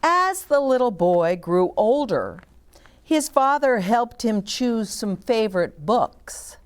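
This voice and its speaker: A woman's voice: mid-range voice, a little mellow. A woman reading a children's storybook aloud as a story time host, with short explanatory asides to young listeners.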